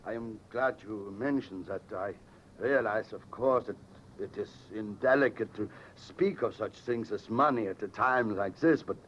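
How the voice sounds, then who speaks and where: An actor playing a polite, money-minded foreign businessman with a continental European accent, speaking formally and haltingly in vintage radio drama. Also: on the low side, a bit bright. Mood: mournful, awkward. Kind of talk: 1940s-50s radio drama acting. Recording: dull, noisy vintage radio recording.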